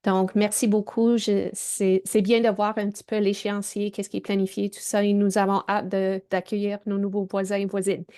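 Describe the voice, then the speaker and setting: A woman's voice: mid-range voice, little pitch movement, moderate pace, hardly any pauses, dark tone, clean tone. A woman from Saskatchewan with an English Canadian accent, speaking French as a resident politely raising concerns at a public meeting over a video call. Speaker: resident asking a question.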